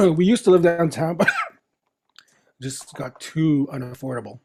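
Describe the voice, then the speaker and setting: A middle-aged man from the Philippines, a guest chatting casually in an interview, relaxed and chuckling as he talks. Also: fairly high pitch, unhurried, slightly rough. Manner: relaxed, chuckling, trails off and restarts.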